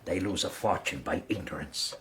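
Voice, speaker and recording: An actor playing a roguish, fawning Irish knight and debt-dodging fixer in a radio period drama, speaking with an Irish accent and theatrical flourishes. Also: male voice, deep voice, normal speed, sharp tone. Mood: jaunty, cynical. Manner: theatrical, ingratiating, rhetorical flourishes.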